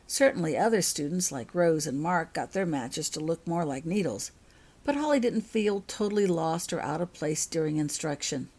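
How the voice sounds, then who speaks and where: A woman's voice: sits low, lively intonation, normal speed, a little mellow, breathy edge. A woman reading her own fiction aloud as an audiobook-style story narration.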